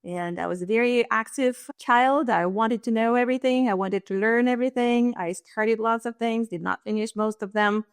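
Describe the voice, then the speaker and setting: A woman's voice: fairly high pitch, moderate pace, hardly any pauses, clear, resonant tone, dry recording, clean studio sound. Woman from Russia, thoughtful guest. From Russia and Kazakhstan. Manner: thoughtful, laughs lightly between points. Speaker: guest, leadership strategist. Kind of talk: interview conversation.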